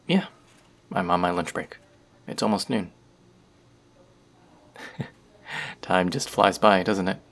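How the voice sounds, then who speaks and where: Man playing a chatty young barista, teasing, gravelly, low. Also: slow, pauses often, a bit bright, full character voice. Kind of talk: audio roleplay for a listener.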